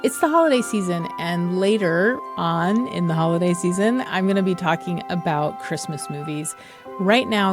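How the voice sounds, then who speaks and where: Woman film podcast host, lowish voice, conversational and emphatic, music playing behind her. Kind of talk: film discussion and recommendations.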